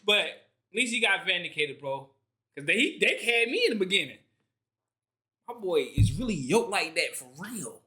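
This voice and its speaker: A young man casually reacting to clips with slangy, animated exclamations. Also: sits high, moderate pace. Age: young adult. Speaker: reaction streamer.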